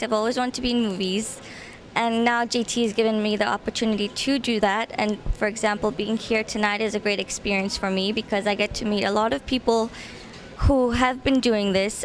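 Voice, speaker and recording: A woman's voice: mid-range voice, a little hiss or hum. A woman speaking earnestly in a casual interview, repeating phrases for emphasis. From Trinidad and Tobago. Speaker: actress being interviewed.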